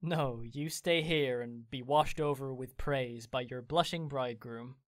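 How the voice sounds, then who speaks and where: An actor playing a cheerful, devoted young gentleman in an audio drama, playful in manner. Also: mid-range voice, unhurried, no room sound, clean studio sound, lightly acted.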